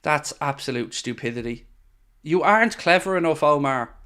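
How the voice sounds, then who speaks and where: A man's voice: fairly high pitch, lively intonation, normal speed, pushed and bright, clear, resonant tone. A man hosting a live football watchalong, ranting in exasperated, sarcastic reaction to his team's play. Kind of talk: live match commentary and reaction.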